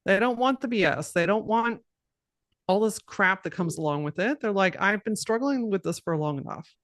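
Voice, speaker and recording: A woman with a low voice talking bluntly and directly as a podcast guest, cussing freely and laughing often. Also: lively intonation, normal speed, dry recording, studio quality. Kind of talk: casual podcast conversation.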